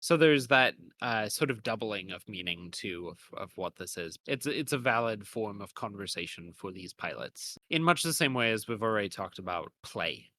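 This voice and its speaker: A man's voice: fairly low pitch, animated, moderate pace, few pauses, bright, forceful tone, slight background noise. A man co-hosting a conversational, analytical discussion in a thoughtful way, sometimes searching for words. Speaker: podcast co-host.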